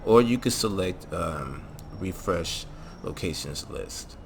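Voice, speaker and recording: A man's voice: low voice, lively intonation, takes it slowly, creaky, a little hiss or hum. A man hosting a casual, slangy video game mod tutorial in an informal, laid-back way. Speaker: gaming channel host. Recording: music behind the voice. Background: music.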